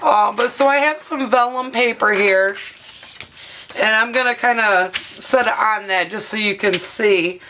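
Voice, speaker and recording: Hoarse woman chatting slowly through a craft demo. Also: on the high side, bright, forceful tone, a little hiss or hum, rough recording. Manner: chatty, rambling with fillers. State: hoarse, partly lost voice. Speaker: craft video host. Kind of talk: casual craft tutorial narration. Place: home craft studio, at a desk. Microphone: overhead camera mic, speaker off-frame.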